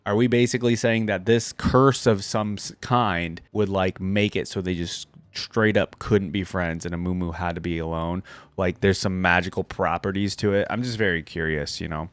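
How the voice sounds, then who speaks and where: A man's voice: lowish voice, dry recording. A man doing casual reaction commentary as a video host, musing and thinking aloud in an informal way.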